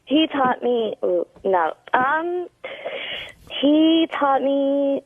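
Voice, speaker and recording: A woman chatting casually as a radio guest, speaking in a halting, vague way. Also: sits high, lively intonation, no room sound, narrow, tinny audio. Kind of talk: casual chat.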